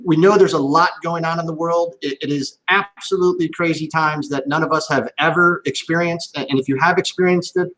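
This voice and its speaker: A man's voice: on the high side, fairly bright tone, rough recording. A man hosting a live-streamed interview over a video call, upbeat, chatty and jokey. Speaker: host.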